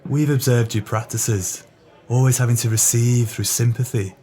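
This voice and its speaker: A man's voice: medium pitch, fairly even intonation, normal speed, warm tone, close to an everyday voice. An actor playing a greedy, sly male swindler in an audio drama, with pompous, wordy, formal speech. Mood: disdainful.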